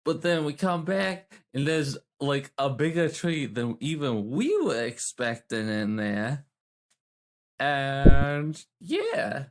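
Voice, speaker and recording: A man playing a tabletop role-playing game, talking casually in character in a halting, rambling way. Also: mid-range voice, expressive. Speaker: player.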